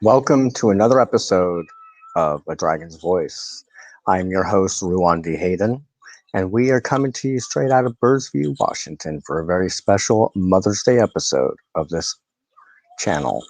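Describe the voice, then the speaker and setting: A man hosting a spiritual show, teaching informally to camera in halting, deliberate phrases with emphatic repeats. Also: sits low, expressive, darkish tone, dry recording, low-quality recording.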